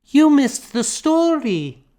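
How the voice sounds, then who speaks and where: A little girl from an audiobook novel, acted out by a male reader. The voice is lifted high and sounds excitable and childlike. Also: takes it slowly, exaggerated voice. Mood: eager. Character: small excitable girl begging to be included.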